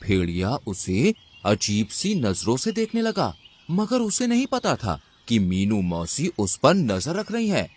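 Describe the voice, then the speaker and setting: A man's voice: mid-range voice, wildly swooping pitch, unhurried, a little mellow. A man narrating a scripted children's story as the storyteller.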